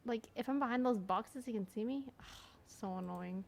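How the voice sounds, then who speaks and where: A woman's voice: fairly high pitch, moderate pace, gravelly, a little mellow, a little hiss or hum. A woman giving casual, informal gameplay reactions, muttering asides as she plays. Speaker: gamer reacting to a playthrough. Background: game audio.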